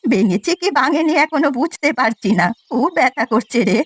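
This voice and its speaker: A woman's voice: high voice, expressive, normal speed, breathy edge, no echo. A woman voicing a stingy, repentant old village granny in a cartoon story, plaintive and affectionate in manner. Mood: plaintive, sorry. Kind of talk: Bengali cartoon story voice acting.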